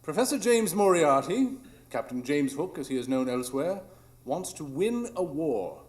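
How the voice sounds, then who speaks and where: A man playing a solemn, assured, cerebral gentleman detective, speaking deliberately in a live radio drama. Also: fairly high pitch, very animated. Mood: grave, assured.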